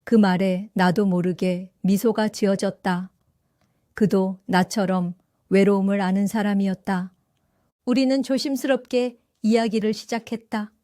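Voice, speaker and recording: An older woman narrating her own story in an audio drama, calm and reflective. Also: medium pitch, measured pace, no echo, studio quality. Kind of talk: scripted first-person audio drama narration.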